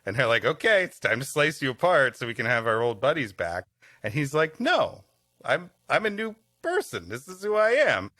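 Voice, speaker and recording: Guy co-host riffing, bright punchy voice, swoops around a lot, dry close recording. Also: medium pitch, sing-song, normal speed, no room sound. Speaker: podcast co-host. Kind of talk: casual comic banter and riffing.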